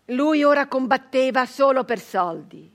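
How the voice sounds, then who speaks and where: Defiant woman brigand, embittered storyteller of a radio drama. High voice, slow theatrical delivery, weighty pauses. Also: lively intonation. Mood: bitter, grave. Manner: dramatic, deliberate, with long pauses and swings from hushed to loud. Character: embittered, defiant woman brigand of nineteenth-century southern Italy. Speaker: actress in a dramatic monologue. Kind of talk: theatrical radio monologue, first-person storytelling.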